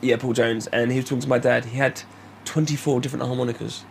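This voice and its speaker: Croaky, fast-talking man with a cold. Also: medium pitch, little pitch movement, darkish tone, a little hiss or hum. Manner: quick, enthusiastic, chatty. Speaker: podcast co-host. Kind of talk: casual chat.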